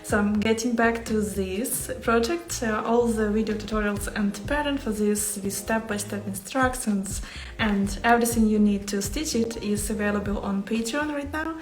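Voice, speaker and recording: A woman's voice: medium pitch, moderate pace, echoey room, soft background music. A woman from Ukraine with a Ukrainian accent, chatting casually and a little hesitantly to livestream viewers as she talks about her craft. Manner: hesitant, searching for words. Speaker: embroidery artist hosting a livestream. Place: small home workspace indoors.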